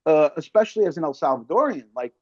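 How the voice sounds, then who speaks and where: A man's voice: on the high side, lively intonation, quick pace, a little mellow, dry recording. An American man in his forties hosting a casual interview, rambling in slangy, conversational language, on slightly dull audio. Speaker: podcast host, interviewer.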